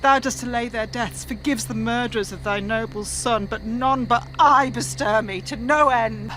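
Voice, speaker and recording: A woman's voice: fairly high pitch, moderate pace, fairly bright tone, a little hiss or hum, lightly acted, poor audio. An actress playing a bereaved middle-aged mother in despair, speaking anguished, incantatory verse in a radio tragedy.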